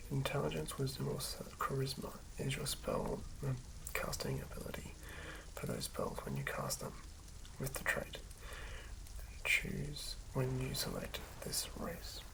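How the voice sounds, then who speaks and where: A host whispering in a breathy, hushed ASMR style, reading aloud and commenting casually in an unhurried way. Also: mid-range voice, noisy background. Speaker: host reading and building a tabletop game character.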